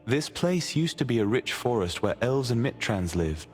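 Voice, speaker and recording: A man's voice: medium pitch, very animated, normal speed, natural voice. A man playing a solemn storyteller, narrating fantasy lore for a video game. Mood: solemn, measured. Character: solemn storyteller recounting a fantasy land's history. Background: faint music.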